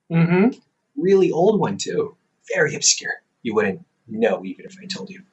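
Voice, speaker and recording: A man playing a teasing, reassuring older brother, a scholar in his thirties, in an intimate, casual audio roleplay with halting, conversational delivery. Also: a little high, voice pushed up, poor audio. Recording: hobby microphone with faint background noise.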